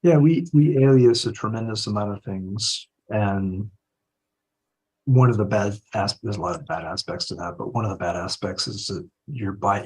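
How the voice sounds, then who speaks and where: A man's voice: fairly low pitch, a little mellow, breathy edge, rough recording. A man reasoning analytically in a live online discussion, hedging his claims as he goes. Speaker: discussion participant. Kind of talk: live podcast group discussion.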